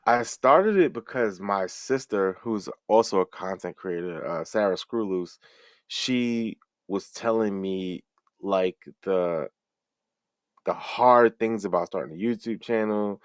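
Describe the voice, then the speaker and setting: A middle-aged man with a fairly low voice talks through his answers in a friendly, reflective way. He halts and restarts often, and the recording is dry and close with no room sound. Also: no room sound. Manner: rambling, halting, many restarts and fillers. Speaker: guest, fellow interviewer and streamer. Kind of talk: casual interview answers.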